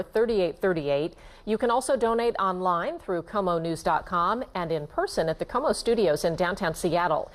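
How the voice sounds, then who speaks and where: A woman presenting as a television news anchor, introducing live reports. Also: medium pitch.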